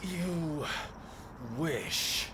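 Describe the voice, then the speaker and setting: An actor playing a brave, guarded vampire slayer in an audio roleplay, terse and defiant. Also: male voice, on the high side, drawn-out words, a bit bright, slight background noise. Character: brave, gruff mysterious Spanish male vampire slayer. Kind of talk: cinematic audio roleplay acting.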